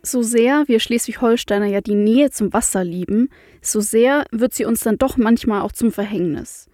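A woman with a Franconian accent and a rolled R presents scripted news from a studio. Her voice sits a little high, and it is recorded close and dry with no room sound. She reads clearly and at a measured pace. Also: warm tone, dry recording, faint music behind.

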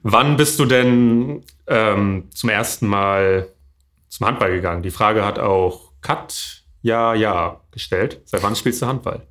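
A man's voice: lowish voice, slow, a bit bright. A man hosting a sports interview, asking questions in a chatty, encouraging way. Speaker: interviewer, host.

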